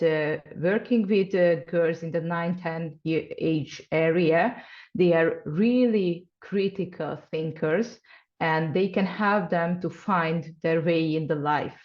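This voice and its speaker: A woman's voice: low voice, a little mellow, dull audio. A woman from Hungary speaking English with a Hungarian accent, giving earnest interview answers and sometimes searching for words. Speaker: guest scientist, associate professor.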